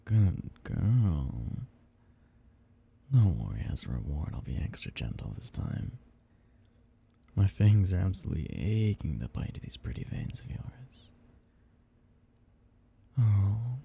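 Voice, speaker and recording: A man playing a seductive, possessive vampire captor in an intimate ASMR roleplay, teasing and condescendingly tender in a breathy, gravelly murmur. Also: deep voice, very animated, slow, pauses often, dark tone, slight background noise, heavily acted. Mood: seductive, hungry.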